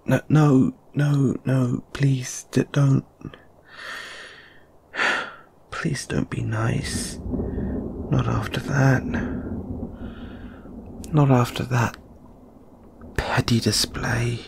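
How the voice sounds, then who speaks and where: A man playing an anxious, apologetic, lovestruck lamia flatmate in an audio roleplay, stammering and flustered. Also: medium pitch, background noise. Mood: ashamed, apologetic. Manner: stammering, halting, flustered, breathy sighs. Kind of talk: intimate audio roleplay acting.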